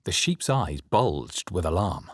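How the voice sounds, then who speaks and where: Resolute adventurer hero, game dialogue, deep, rough-edged, measured, dramatic. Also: male voice, big pitch swings, dry recording, clean studio sound, exaggerated voice. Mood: brooding, grave narration. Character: male fantasy adventurer hero, brooding, wary, resolute. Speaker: player character voice. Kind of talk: scripted video game voice lines, dramatic narration.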